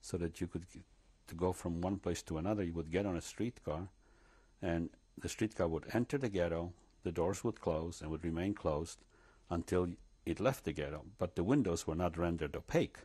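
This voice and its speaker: An older man speaks in a deep, slightly husky voice. He recounts memories in a measured, reflective way, pausing and restarting often. He is recorded on a lapel mic in a living room. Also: dark tone. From Poland. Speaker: interviewee. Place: living room, seated before curtains.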